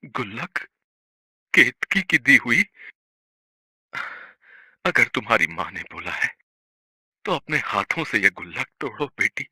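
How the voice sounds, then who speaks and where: A man performs a broken, repentant father from a family melodrama. He speaks slowly and haltingly in a bright, forceful voice, pleading tearfully with wide swings of pitch. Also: sing-song, slightly rough, no echo, studio quality, heavily acted. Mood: subdued, tender. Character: remorseful drunkard father of a poor family, grieving. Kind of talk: melodramatic audio story acting.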